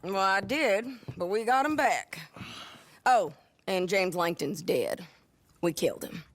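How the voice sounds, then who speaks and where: An actress playing a hardened, wry frontier bounty hunter in a western video game, drawling with an American Southern accent. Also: female voice, mid-range voice, lively intonation, slow pace. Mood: resolute.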